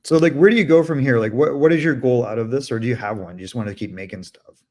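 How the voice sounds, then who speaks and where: A man from Canada hosting a casual live interview, chatty and quick to joke and laugh. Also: medium pitch. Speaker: host.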